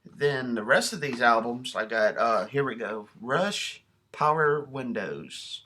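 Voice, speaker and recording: A man talks in a bright, forward voice at a middling pitch. He presents items in a casual show-and-tell vlog style. His delivery is folksy, plain-spoken and enthusiastic. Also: slow pace, a little hiss or hum. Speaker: video host showing a cassette collection.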